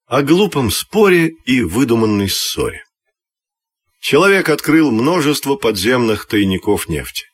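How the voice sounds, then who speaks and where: A husky-voiced man narrates a children's radio fairy tale in an expressive, measured way. His voice sits at a middle pitch, and the recording is dry and close. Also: no echo. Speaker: storyteller reading a children's audio tale.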